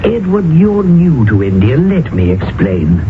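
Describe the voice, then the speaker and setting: A man's voice: fairly high pitch, big pitch swings, a little slow, background noise. A man acting in a radio drama as a worried British colonial friend, who also narrates the adventure in a dramatic, earnest way. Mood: urgent, cautioning. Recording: tinny old radio broadcast, music underneath.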